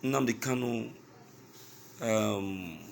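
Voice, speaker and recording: A man with a Nigerian accent giving news commentary to his listeners, speaking in a halting, emphatic way and repeating phrases. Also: on the low side, vocal fry, slight background noise.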